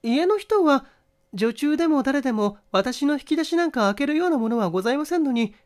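Man putting on a high-pitched refined young lady. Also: animated, brisk. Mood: uneasy, polite. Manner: polite, hesitant, feminine formal speech. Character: refined, anxious young lady giving testimony.

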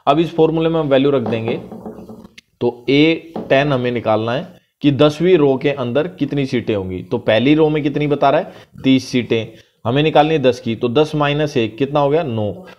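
Indian guy doing a math tutorial, slow careful explaining, kinda muffled mic. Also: medium pitch, a little mellow, slight background noise. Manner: explains step by step, reads English question lines slowly. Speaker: math teacher. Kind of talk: screen-recorded math lesson.

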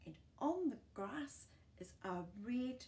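Expressive older New Zealand woman, unhurried storyteller. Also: high-pitched, vocal fry. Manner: expressive, chatty asides and questions to small children, dropping into whispers. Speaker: children's storytime host. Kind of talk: reading a picture book aloud to young children. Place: small book-filled room indoors.

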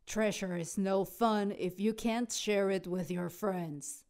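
A woman's voice: medium pitch, fairly even intonation, slow pace, a little mellow. A woman voicing a hearty male toad pirate buddy in a children's storybook.

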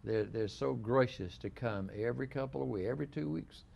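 An older man hosting a relaxed studio interview, talking in a rambling, halting way with many restarts. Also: medium pitch, creaky, a little hiss or hum. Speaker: host. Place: small living-room studio set.

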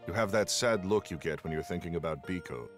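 A man voicing a calm demon dog companion in a video game, matter-of-fact and blunt. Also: low voice, fairly quick, soft background music, lightly acted. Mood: grave. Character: deep-voiced, calm, frank male demon dog companion. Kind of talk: anime-style video game voice acting.

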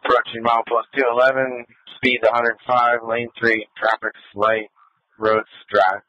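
A man's voice: mid-range voice, level tone, unhurried, sharp tone, dry recording. A man speaking as a police officer, giving clipped, matter-of-fact pursuit reports over a two-way radio. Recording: dull, lo-fi police scanner radio.

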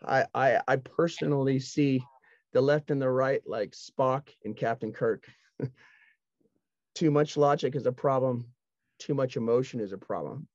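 Middle aged guy explaining stuff earnestly, average pitch, slightly muffled call audio. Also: measured pace, darkish tone, dry recording. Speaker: discussion panelist. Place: indoors, dark backdrop. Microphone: headset on video call. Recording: dull video call audio.

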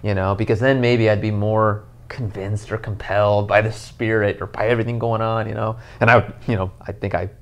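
Lowish, gravelly male pastor. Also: a little fast, few pauses. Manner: animated, earnest storytelling with many "you know" fillers. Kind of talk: casual podcast conversation. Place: living room, on a couch, indoors.

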